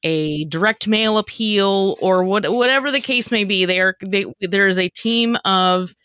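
A woman with a mid-pitched voice co-hosts a casual chat podcast. She talks in a wry, joking way. The recording is dry and free of room sound. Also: unhurried, fairly bright tone, smooth, no room sound. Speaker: podcast co-host.

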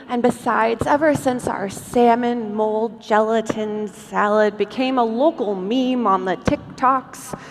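A woman playing a genial, folksy, nostalgic church potluck lady in a live stage comedy sketch. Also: a little high. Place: convention stage in a large hall. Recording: echoey hall, live stage, background noise.

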